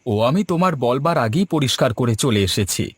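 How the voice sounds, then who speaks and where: A man's voice: medium pitch, lively intonation, moderate pace, lightly acted. A man voicing an earnest, agreeable husband who runs a small eatery in a cartoon. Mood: hopeful. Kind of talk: cartoon dub. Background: music.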